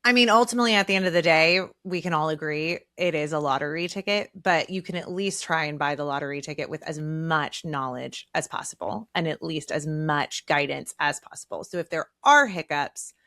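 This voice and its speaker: A woman's voice: sits low, a little fast, few pauses, a bit bright, no echo, studio quality. A woman hosting a podcast interview, engaged and affirming, framing long questions for her guests. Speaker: podcast host. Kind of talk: casual conversational interview.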